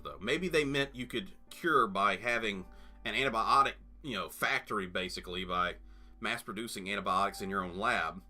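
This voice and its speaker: A man's voice: fairly low pitch, sharp tone. A man hosting a let's play, talking in a rambling, chatty way full of fillers and asides. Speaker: gaming channel host.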